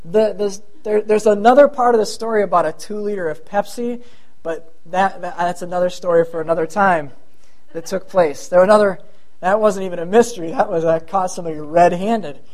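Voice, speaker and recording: A man's voice: high-pitched, fairly quick, darkish tone. A middle-aged man preaches with a thin, light voice. He talks to his congregation in a chatty, self-deprecating way, wandering into asides before returning to the point. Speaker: pastor. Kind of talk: sermon.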